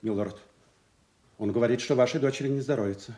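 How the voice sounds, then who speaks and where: A man's voice: medium pitch, quick pace, dark tone, lightly acted. A man acting an earnest, deferential knight in a theatrical radio drama, respectful and cautious. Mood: deferential, concerned. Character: deferential, earnest knight reporting to his king.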